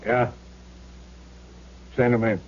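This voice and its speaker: An actor playing a firm, regretful casino boss with a blunt, clipped manner, in radio drama style. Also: male voice, medium pitch, level tone, slow, darkish tone, natural voice. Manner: blunt, clipped, matter-of-fact. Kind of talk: 1970s radio drama acting. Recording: dull, noisy old radio broadcast.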